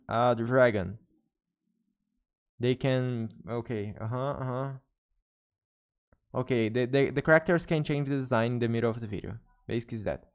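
Young Brazilian man streaming in halting English. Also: medium pitch. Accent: Brazilian Portuguese. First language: Portuguese. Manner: hesitant, self-correcting non-native English. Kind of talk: casual live-stream chat with viewers. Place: bedroom streaming setup indoors. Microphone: studio mic on desk with headphones.

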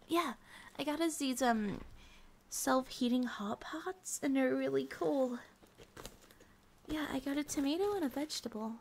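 Sleepy, affectionate student girlfriend for ASMR roleplay, played by a woman: high, soft, hesitant, unhurried delivery. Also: a little hiss or hum. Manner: soft, drowsy, hesitant, giggly.

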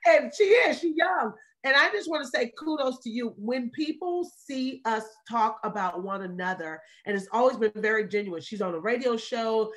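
A woman in her thirties hosting a video call, talking in an excited, effusive way and laughing often. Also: fairly high pitch, normal speed, hardly any pauses. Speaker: host of a walking challenge celebration. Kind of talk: casual video call chat. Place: small room indoors. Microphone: video call webcam.

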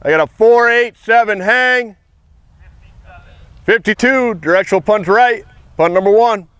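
A man with a high, smooth voice reads off punt numbers in quick, clipped calls. He stands outdoors by a football field, caught by the camera's own mic from off-screen. Every call follows the same routine. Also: a bit bright, dry recording. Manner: brisk, repetitive stat calls. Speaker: kicking coach timing punts. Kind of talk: clipped play-by-play evaluation.

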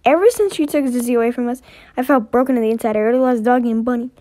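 High-voiced woman voicing an amateur animated skit. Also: racing, dry recording. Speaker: amateur voice actor reading all characters.

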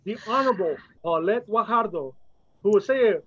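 A man's voice: high-pitched, animated, moderate pace, a bit bright. A man hosting a ceremony with florid, formal introductions and remarks, heard through lo-fi audio. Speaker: event host, outgoing poet laureate. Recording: dull, lo-fi audio.

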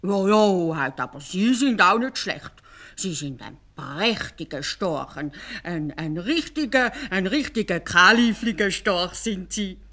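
A woman's voice: fairly low pitch, lively intonation, measured pace, a bit bright. A courteous, eager-to-please fairy-tale vizier acted by a woman with a Swiss German accent. He speaks politely and a little hesitantly. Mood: flattering, hesitant. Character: deferential male grand vizier, eager to please.

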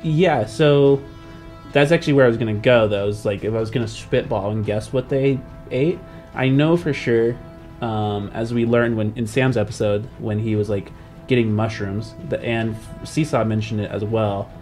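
A man's voice: mid-range voice, moderate pace, darkish tone. A man hosting a podcast as a game master, talking casually and hesitantly as he thinks aloud. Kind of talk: casual podcast chat about world building. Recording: background music under the voice.

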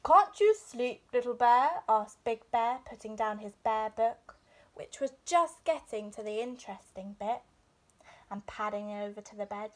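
A woman's voice: mid-range voice, measured pace. A young adult woman narrating a children's bedtime story expressively, like a teacher reading aloud indoors. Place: small furnished room indoors.

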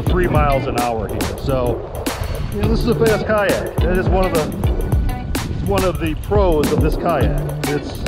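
A man casually reviewing a fishing kayak while out on the water, talking things through with lots of fillers. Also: fairly high pitch. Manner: easygoing, lots of uh fillers, explains as he goes. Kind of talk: casual outdoor review vlog. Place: on a kayak out on open bay water.

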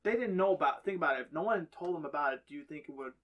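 A man co-hosting a podcast, explaining his idea haltingly and rephrasing it in casual group talk. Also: fairly high pitch, animated, muffled recording, a crowd in the background. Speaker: podcast co-host. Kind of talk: casual panel discussion.

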